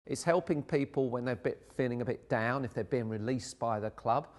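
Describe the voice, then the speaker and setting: A middle-aged man with a South London accent speaks at an ordinary, middle pitch, rambling along with plenty of "uh"s. He sounds like a vicar giving a documentary interview. His voice rings with a long reverb, as if recorded inside a church. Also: brisk. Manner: chatty, rambling with frequent "uh" fillers. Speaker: vicar and football club chaplain.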